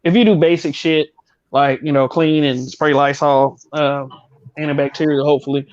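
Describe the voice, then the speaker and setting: Opinionated man with a higher voice. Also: fairly even intonation, normal speed, no room sound, dull audio. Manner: opinionated, rambling with restarts. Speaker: podcast co-host. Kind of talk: casual chat.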